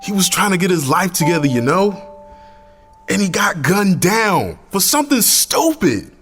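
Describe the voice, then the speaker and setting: An actor playing an intense, embittered young community activist in an audio drama, impassioned and slangy. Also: male voice, high voice, big pitch swings, normal speed, a bit bright, big-room sound, faint music behind. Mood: grieving, earnest.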